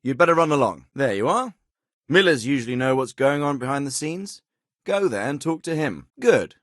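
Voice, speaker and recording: An actor playing a dignified, middle-aged medieval lord in a video game, fatherly but shrewd, with a measured, authoritative and well-spoken delivery. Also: mid-range voice, sing-song, a bit bright, no echo, clean studio sound. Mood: stern, concerned. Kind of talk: scripted game dialogue.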